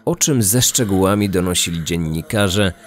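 A man reading scripted true-crime documentary narration in a measured, serious way. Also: low-pitched, over music.

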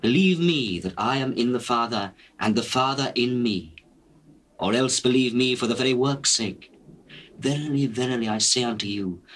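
An actor playing a solemn, gentle, authoritative religious teacher in a measured, declamatory scripture drama. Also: male voice, mid-range voice, slightly rough, poor audio. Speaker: actor in dramatized Bible recording. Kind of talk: dramatized scripture reading in archaic English.

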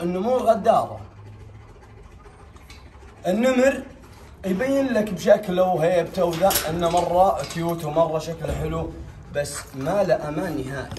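Echoey, higher-pitched young Saudi man. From Saudi Arabia. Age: young adult. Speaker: big cat owner and vlogger. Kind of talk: casual explanatory vlog talk. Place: small bare tiled enclosure. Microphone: camera a few steps away. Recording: echoey bare room, distant microphone.

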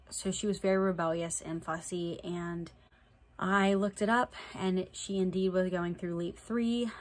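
A woman's voice: fairly low pitch, a little hiss or hum. A young woman with a slight cold and a little nasal, chatting casually to camera in a vlog update. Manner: chatty, plain, fillers like "so yeah". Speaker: vlogger. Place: indoor room before a draped backdrop with string lights.

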